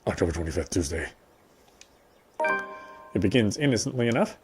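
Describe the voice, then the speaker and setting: A man reading a visual novel's story narration aloud as a gameplay streamer, in a measured way. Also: fairly low pitch, big pitch swings, over music.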